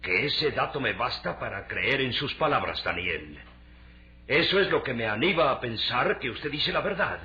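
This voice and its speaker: A forceful, very animated middle-aged tycoon in a lo-fi radio drama. He talks in theatrical, emphatic Latin American Spanish, with the probing air of a shrewd businessman. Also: mid-range voice, moderate pace. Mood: excited. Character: shrewd middle-aged shipping magnate, doubtful yet excitable father. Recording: dull, lo-fi radio drama recording.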